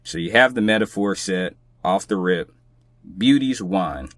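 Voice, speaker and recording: A man's voice: low voice, lively intonation, unhurried, a bit bright, no room sound. A man speaking casually and conversationally as a guest on a podcast.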